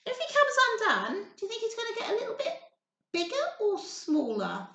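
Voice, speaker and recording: A woman reading a story aloud to small children in an expressive, encouraging way, often asking questions. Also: very high voice, normal speed, vocal fry. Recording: roomy echo, faint background noise.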